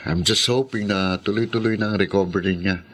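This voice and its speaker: A man playing an earnest, aggrieved son and fiancé in a radio drama, with a husky voice. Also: fairly low pitch, fairly even intonation, a little fast, a bit bright. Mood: hopeful, earnest.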